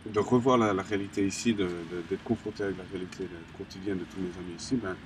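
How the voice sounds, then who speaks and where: Deep-voiced man, halting speech. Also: fairly even intonation. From Colombia. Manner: halting, many restarts and fillers. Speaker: graffiti artist, interviewee. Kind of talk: casual interview talk. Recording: distant mic with background noise.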